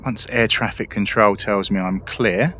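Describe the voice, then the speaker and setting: A man's voice: lowish voice, unhurried. A man giving casual, explanatory gameplay commentary in a conversational way. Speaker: gaming video creator. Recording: muffled mic with faint noise.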